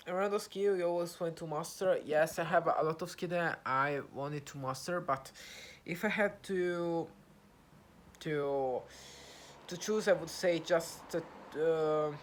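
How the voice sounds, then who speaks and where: A young Italian man talking to camera in English, his second language, with an Italian accent, pausing often with fillers and restarts as he searches for words. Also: on the high side, a little hiss or hum. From Italy. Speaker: vlogger. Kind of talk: casual talk to camera, practising English.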